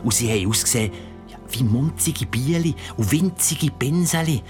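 A man's voice: medium pitch, animated, normal speed, close to an everyday voice. A man with a Swiss German accent playing a father who tells his child a creepy bedtime story, theatrical and suspenseful. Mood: mysterious, narrating. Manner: theatrical, suspenseful, sometimes whispering. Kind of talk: audio drama storytelling. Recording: music behind the voice. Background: music.

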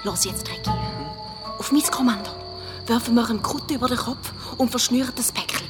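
A woman's voice: on the high side, a little fast, music in the background, lightly acted. An actress playing a bold, bossy, resolute young girl in a children's audio drama. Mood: tense, whispering plans. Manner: resolute, scolding.

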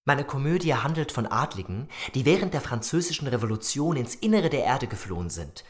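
An actor playing an earnest, ironic writer recounting his youth in a measured, theatrical literary radio narration. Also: medium pitch, big pitch swings. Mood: wry, reflective. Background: music.

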